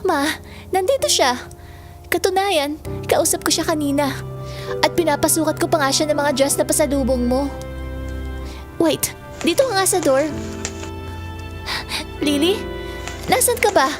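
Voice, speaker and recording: A woman's voice: high-pitched, unhurried, pauses often. An actress playing an earnest young girl in a Filipino audio drama. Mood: eager, puzzled. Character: earnest young girl insisting her imaginary friend is real. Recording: music under the voice.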